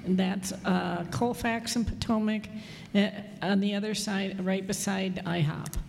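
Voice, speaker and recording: A woman giving church event announcements in a halting way with fillers. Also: mid-range voice, steady tone, vocal fry, a little mellow. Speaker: congregation member with announcements. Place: church sanctuary. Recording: long church hall reverb.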